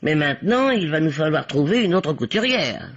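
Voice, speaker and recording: An actress playing a shrewd, stern spinster sleuth in a vintage French radio mystery, dryly ironic and deductive. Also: female voice, deep voice, very animated, normal speed. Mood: vexed. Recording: muffled old radio drama recording.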